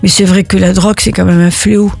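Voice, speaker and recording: Older woman from Italy, gravelly and husky, halting interview answers with many restarts. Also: mid-range voice, level tone, a little mellow, no room sound. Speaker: interviewee, neighborhood volunteer.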